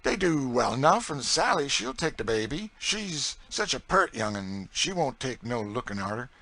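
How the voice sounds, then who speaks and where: A male narrator plays an enslaved woman who cooks, motherly and eager. The voice is lifted high and pushed out loud and bright, in a folksy dialect full of emphatic exclamations. It belongs to an audiobook story. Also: sing-song, moderate pace, dry recording. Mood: confident. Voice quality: folksy dialect speech with dropped sounds. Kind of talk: audiobook character dialogue.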